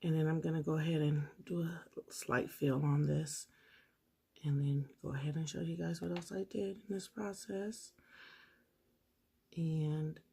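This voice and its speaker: A woman whose voice is going hoarse talks her viewers through a nail tutorial in a casual, chatty way. Her voice sits low, with gravel and a husky, breathy edge. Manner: chatty, talks straight to viewers while working. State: losing her voice. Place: home table, indoors.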